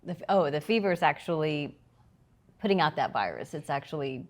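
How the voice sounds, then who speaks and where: A woman's voice: low voice, expressive, fairly quick. A woman chatting casually as a podcast co-host, giving brief, agreeable replies. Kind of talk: casual chat.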